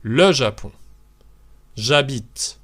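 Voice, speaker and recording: French teacher guy from france, talks super slow, voice goes up and down a lot, spells out each example. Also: mid-range voice, lively intonation, a bit bright. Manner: slow, deliberate model sentences for learners to repeat. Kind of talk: language lesson for beginners.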